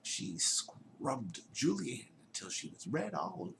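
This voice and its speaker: A man reading a children's story aloud in a theatrical way, dropping into hushed tones and chatting to his young listeners. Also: medium pitch, wildly swooping pitch, a little slow, gravelly, a little hiss or hum.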